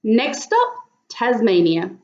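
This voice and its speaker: A woman voicing a caring, excitable old grandmother possum in a storybook, exclaiming and encouraging. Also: a little high, slow pace, echoey room, muffled recording, heavily acted. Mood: delighted.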